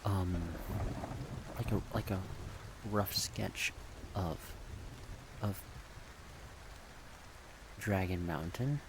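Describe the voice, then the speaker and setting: Male, deep and unhurried, soft-spoken casual ASMR rambler, rain-like noise behind the voice. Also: vocal fry. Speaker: ASMR creator rambling about a project. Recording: steady rain-like background noise.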